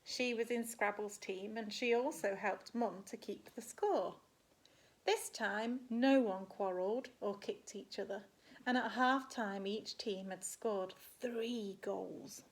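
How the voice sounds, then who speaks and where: A woman, a primary school teacher, reading a picture book expressively to children and pausing to put questions to her listeners. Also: medium pitch. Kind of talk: animated picture-book storytelling with asides.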